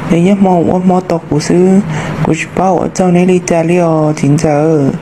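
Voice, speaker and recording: A fairly high male voice from a man acting as a character in a homemade folk-tale cartoon. The dialogue sits in clearly audible background noise, recorded roughly on a phone. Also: level tone, normal speed, natural voice. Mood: conversational.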